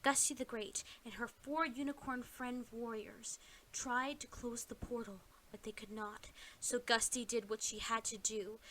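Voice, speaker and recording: A woman's voice: fairly high pitch, pushed and bright, rough recording. A woman reading scripted story narration in a dramatic storytelling style.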